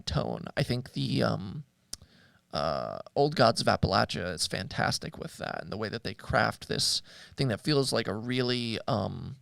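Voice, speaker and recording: A man's voice: lowish voice, creaky, fairly bright tone, no room sound, studio quality. A man co-hosting a show in casual discussion, speaking hesitantly and thoughtfully as he searches for words. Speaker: co-host of a media show.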